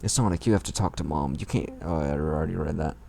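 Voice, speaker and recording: A man's voice: rumbling low, fast talker, gravelly, mellow, slight background noise. A man reading fan fiction aloud in a mocking, irreverent way, tossing in crude comments of his own.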